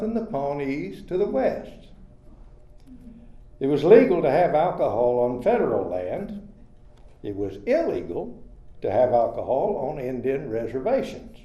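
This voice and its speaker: An older man from Oklahoma reading a prepared personal history as a storyteller at an event, in a measured, plain way. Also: on the high side, warm tone. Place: retirement community event room. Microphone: handheld microphone. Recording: echoey, slightly dull room recording.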